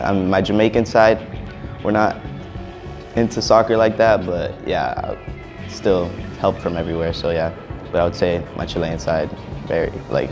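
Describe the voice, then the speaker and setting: A teenage male soccer player answering interview questions in a relaxed way, often ending with "so yeah". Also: sits low. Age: teens. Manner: relaxed, ends thoughts with "so yeah".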